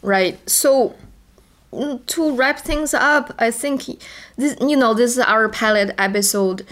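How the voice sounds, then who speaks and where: A woman's voice: a little high, takes it slowly, a bit bright. A woman with a Chinese accent, English not her first language, co-hosting a casual podcast and often restarting words as she searches for phrasing. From China. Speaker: podcast co-host.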